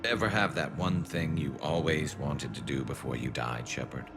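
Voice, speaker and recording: A man playing a wry, rebellious alien ex-security officer in a video game, with a gravelly, dry, laid-back delivery. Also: steady tone, normal speed. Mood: wry, friendly. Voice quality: very deep, gravelly. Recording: background music under dialogue.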